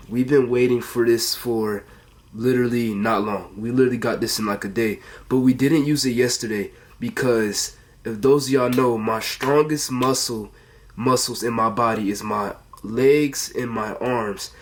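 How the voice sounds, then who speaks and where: An American teenage guy talks casually to his camera in a medium-pitched voice. He is earnest and rambles, often repeating himself as he goes, like a young fitness vlogger at home. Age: teenager, under 18. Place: bedroom at home, indoors.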